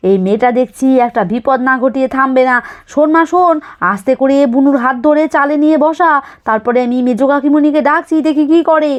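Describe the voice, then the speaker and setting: A woman's voice: sits high, a little fast, lightly acted. A woman voicing an anxious, caring young village mother in a children's doll-story cartoon, nagging with repeated instructions. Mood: worried.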